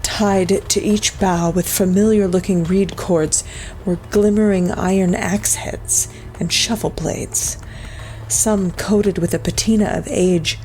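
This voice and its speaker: A woman with a low voice full of fry narrates a dark horror tale. Her delivery is solemn and measured, with soft music underneath. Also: rough recording. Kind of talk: scripted narration of a dark folk-horror story.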